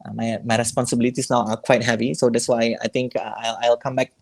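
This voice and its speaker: A man's voice: medium pitch, flat delivery, fast talker, vocal fry. A man, a former scholarship student and teacher, answers interview questions in a casual, hesitant way, with many fillers and restarts. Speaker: guest teacher, scholarship alumnus.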